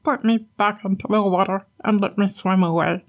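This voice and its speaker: A woman voicing a talking flounder that is an enchanted prince, speaking in a gentle, formal way. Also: medium pitch, steady tone, moderate pace, highs cut off, pitched above the performer's own voice, natural voice. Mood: pleading.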